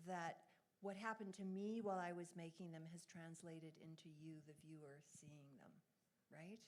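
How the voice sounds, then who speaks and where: A woman's voice: lowish voice, a little mellow. A woman who is an abstract painter, speaking earnestly and reflectively about her work at a gallery talk. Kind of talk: public artist talk answering questions. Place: gallery event space.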